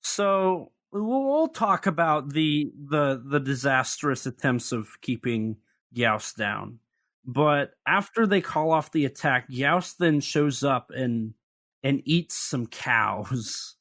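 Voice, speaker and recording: A man's voice: medium pitch, animated, slow, fairly bright tone. A man with an ordinary mid-range voice, co-hosting a podcast and walking through a film scene by scene. He is chatty and analytical, with dry, lightly sarcastic asides. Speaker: podcast co-host. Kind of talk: casual film discussion.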